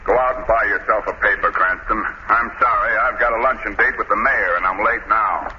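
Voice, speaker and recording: An actor playing a self-important, curt, blustering police commissioner in an old-time radio drama. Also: male voice, on the low side, a little fast, lightly acted. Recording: muffled, clearly noisy old radio recording.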